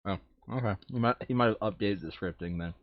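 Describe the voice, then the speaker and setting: A man's voice: fairly low pitch, fast, highs cut off. A man chatting casually while playing a game, thinking aloud and muttering hesitantly to himself. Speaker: player setting up a co-op board game campaign.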